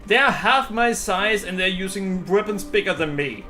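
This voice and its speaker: A man's voice: high voice, expressive, moderate pace, sharp tone. A man streaming a video game, giving casual, chatty commentary and talking to his chat. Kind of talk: casual live gameplay commentary. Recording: game music behind the voice. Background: video game music.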